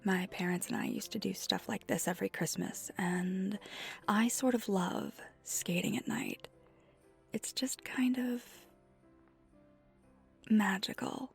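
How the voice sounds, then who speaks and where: A woman playing a flirty, friendly vampire in an ASMR roleplay, speaking softly and reassuringly with a breathy, near-whispered delivery. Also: mid-range voice, expressive, a little slow, heavily acted. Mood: soft, wistful. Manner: soft-spoken, gently reassuring, often breathy near-whisper. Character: flirty friendly female vampire teaching ice skating. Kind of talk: ASMR roleplay, one side of a conversation. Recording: music behind the voice.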